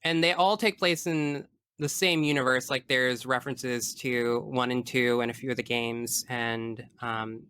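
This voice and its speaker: A man's voice: mid-range voice, steady tone, a little slow, pushed and bright, smooth, no room sound. A man speaking as a podcast guest, chatting casually about video games in a frank, geeky way.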